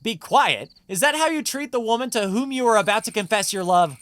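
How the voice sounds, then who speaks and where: A sharp-tongued young adventuress for a video game. She is squeaky and feisty, teasing brashly in a very animated way. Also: normal speed. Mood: loud, indignant.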